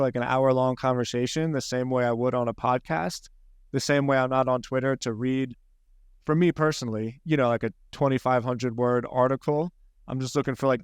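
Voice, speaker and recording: A man's voice: mid-range voice, smooth, no echo, clean studio sound. A man with a Philadelphia accent, hosting a podcast in casual, rambling conversation with frequent restarts. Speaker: podcast host.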